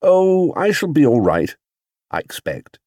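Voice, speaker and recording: A man voicing a small, young male bear who speaks clearly, politely and with proud earnestness, as in a children's storybook. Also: a little high, wildly swooping pitch, unhurried, darkish tone, dry recording, pitched above the performer's own voice, full character voice. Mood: eager, hopeful.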